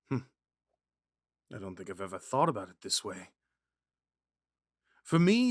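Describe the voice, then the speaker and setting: Casting: male actor as shy, smitten young prince, gentle and hesitant, lightish pitch, animated intonation, intimate dry ASMR sound. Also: no echo. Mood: thoughtful. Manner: soft-spoken, hesitant, stammering when flustered. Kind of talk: ASMR roleplay, scripted monologue to a listener.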